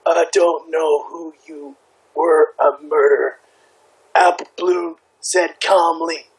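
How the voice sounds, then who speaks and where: A man reading a story aloud among friends, halting and stumbling over words between casual remarks. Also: on the high side, level tone, low-quality recording. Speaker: participant in a group fanfic reading. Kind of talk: amateur read-aloud with casual banter.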